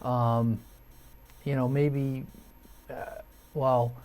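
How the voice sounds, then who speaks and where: A man's voice: medium pitch, flat delivery, drawn-out words, darkish tone. An American man hosting a hobby video channel, talking casually and unscripted to viewers in a hesitant, rambling way, thinking aloud.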